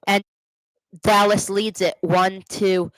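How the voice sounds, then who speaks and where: A woman's voice: medium pitch, little pitch movement, slow pace, no echo, low-quality recording. A fan's livestream watch party, where a woman calls the hockey informally and corrects herself as she goes, recorded dry. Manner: exasperated Devils fan, self-correcting, explains plays informally. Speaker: play-by-play commentator hosting a fan watch party. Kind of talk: live amateur hockey commentary.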